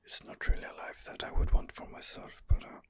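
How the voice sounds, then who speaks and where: A man playing a polite, hesitant older Nord traveller in a soft-spoken, half-whispered ASMR role-play. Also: a little fast, close to an everyday voice. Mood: curious, gentle.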